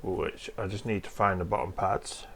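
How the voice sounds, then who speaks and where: A hoarse man with a cold, hosting a casual repair walkthrough in a home workshop, explaining each step in a chatty, rambling way. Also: low-pitched, steady tone, normal speed, a little hiss or hum. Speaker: host of a retro computer repair channel.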